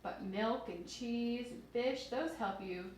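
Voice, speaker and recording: A woman's voice: a little high, takes it slowly, darkish tone. A woman reading aloud to young children as a teacher, expressively explaining things simply and putting questions to her listeners. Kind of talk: children's read-aloud lesson with asides. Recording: very echoey room with faint noise.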